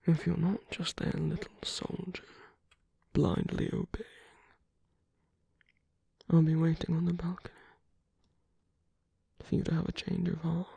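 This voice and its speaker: A woman performs a flirtatious villain in an intimate audio roleplay. The voice is very husky and breathy, dark and low, slipping into coaxing whispers that are teasing and tender toward an enemy. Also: flat delivery, unhurried, pauses often, no echo, exaggerated voice. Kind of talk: intimate ASMR roleplay acting.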